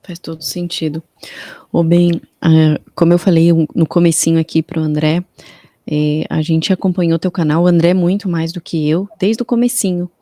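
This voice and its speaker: A woman's voice: lowish voice, steady tone, normal speed, mellow, no room sound, clean studio sound. A woman with a Brazilian accent hosting a podcast in a casual interview style. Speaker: podcast host.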